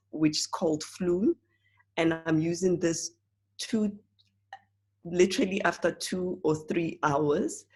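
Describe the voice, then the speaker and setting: Slow, low-voiced hoarse woman. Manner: earnest, halting, with frequent fillers and restarts. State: hoarse, croaky voice. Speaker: presenter of an online oils class. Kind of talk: casual spoken class talk.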